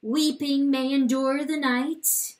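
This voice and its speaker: A woman's voice: high voice, fairly even intonation, takes it slowly, recorded from a distance, voice pushed up. A woman voicing a proud, troubled male rooster who leads his hens, declaiming solemnly in a storybook reading. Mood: solemn, urging. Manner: loud, declamatory, urging.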